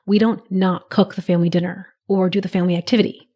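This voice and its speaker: Slightly raspy woman podcast host. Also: lowish voice, level tone, fast talker, warm tone. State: raspy, recovering from a cold. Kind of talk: solo podcast monologue.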